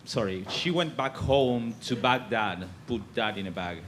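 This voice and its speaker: A man from Argentina doing a stand-up set on a comedy club stage, with a halting delivery full of fillers. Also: mid-range voice, background noise.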